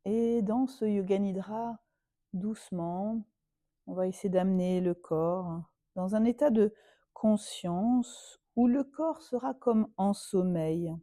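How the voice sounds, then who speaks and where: Female yoga teacher for guided relaxation: very dark, soft-edged tone, dry close sound, soothing and unhurried. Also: medium pitch, no room sound. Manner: soothing, unhurried, with long pauses.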